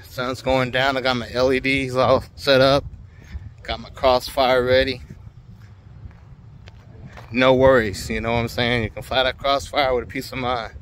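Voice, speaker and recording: A relaxed older man talks to his phone camera in a loose, colloquial way while walking through an open field at dusk. The voice sits at an ordinary pitch. Faint outdoor noise runs behind him. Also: measured pace, long pauses. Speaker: drone hobbyist vlogger. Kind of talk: casual walk-and-talk vlog. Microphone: phone held at arm's length.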